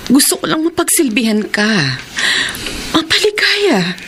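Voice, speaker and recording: An actress playing a lovesick woman who pleads softly for a man's love, in a radio drama. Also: high-pitched, very animated, unhurried, noisy background. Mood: pleading. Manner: soft, pleading. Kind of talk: classic radio drama acting.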